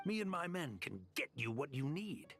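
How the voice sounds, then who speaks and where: An actor playing a greedy, ruthless desert arms dealer in a video game, with a persuasive, cocky, slangy way of talking. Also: male voice, fairly high pitch, big pitch swings, normal speed, fairly bright tone. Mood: slick, persuasive. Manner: persuasive, cocky, casual slangy drawl of a salesman. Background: game music.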